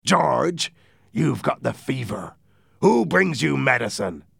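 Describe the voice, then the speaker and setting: A man's voice: mid-range voice, sing-song, takes it slowly, creaky, fairly bright tone, husky, no room sound, clean studio sound, pitched above the performer's own voice, heavily acted. A man voicing a wily, commanding pirate leader in a storybook reading. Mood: persuasive.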